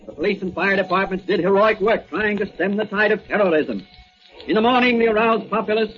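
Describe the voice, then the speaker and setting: A man's voice: high voice, brisk. A man giving scripted narration in a dramatic, declamatory announcer style. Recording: muffled old radio recording with music.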